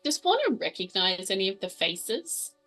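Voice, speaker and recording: A woman's voice: medium pitch, wildly swooping pitch, normal speed, a bit bright, faint music behind. A woman chatting casually as a player in a tabletop roleplaying game.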